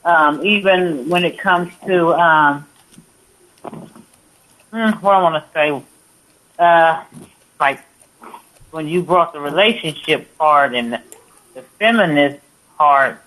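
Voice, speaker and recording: An American woman joins a casual call-in discussion with a bright, forceful voice. She takes her time, speaking slowly and pausing as she works out her thought. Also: low voice, low-quality recording. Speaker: call-in participant.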